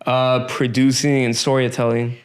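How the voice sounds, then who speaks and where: A man's voice: mid-range voice, flat delivery, moderate pace. Earnest interviewer man from Iran. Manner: earnest, affirming, repeats himself. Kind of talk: casual interview chat.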